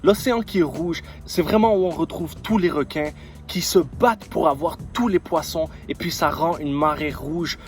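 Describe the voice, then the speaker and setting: A man's voice: a little high, moderate pace. Arabic-speaking man vlogging over music. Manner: explanatory, rhetorical questions. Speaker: entrepreneurship vlogger. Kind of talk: talking to camera about business. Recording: music playing underneath. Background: background music.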